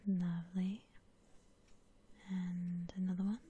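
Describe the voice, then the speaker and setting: A woman whispering softly in a breathy, gentle, unhurried way, musing aloud as she gives ASMR commentary on a game. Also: on the low side, flat delivery. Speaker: host of a gaming ASMR channel.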